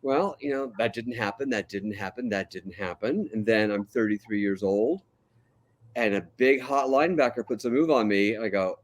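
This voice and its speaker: An older man, a calm and relaxed guest, telling his life story in a casual interview. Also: on the low side, moderate pace.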